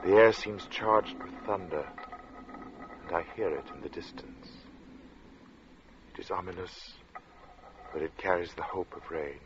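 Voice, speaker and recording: An actor playing a brooding, oppressed man narrating his journal in a 1940s radio drama, halting and ominous. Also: deep voice, slight background noise. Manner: slow, ominous, halting delivery with dramatic pauses. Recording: dull vintage radio recording with loud music.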